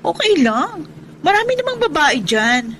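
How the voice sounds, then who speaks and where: Casting: carefree flirt in a Filipino radio drama, very animated, vehicle noise behind. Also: female voice, high voice, normal speed. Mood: flirty, carefree.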